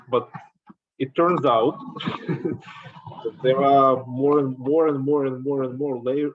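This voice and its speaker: Slow halting man, dull lo-fi sound. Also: mid-range voice. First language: Ukrainian. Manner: halting, stumbles and repeats words. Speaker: host. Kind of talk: conversational discussion. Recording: dull lo-fi audio.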